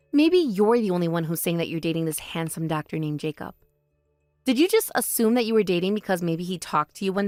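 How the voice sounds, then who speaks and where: A woman voicing a calm, composed older sister who speaks in a measured, quietly cutting way in a voice drama. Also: medium pitch, no room sound, lightly acted. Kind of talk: voice drama, one performer.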